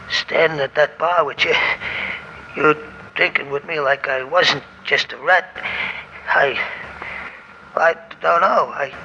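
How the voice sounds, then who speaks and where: A man playing a guilty lowlife thief who talks haltingly in uneducated slang, in a 1940s radio drama. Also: vocal fry, pushed and bright, full character voice. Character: shifty lowlife barfly thief with a guilty conscience. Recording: dull, noisy 1940s radio recording.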